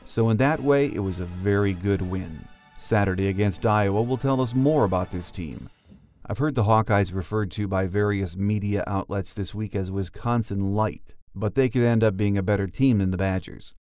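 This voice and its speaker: A man's voice: on the low side, moderate pace, darkish tone, no echo, faint music behind. A man hosting a sports podcast, delivering scripted commentary as the show's presenter. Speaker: podcast host.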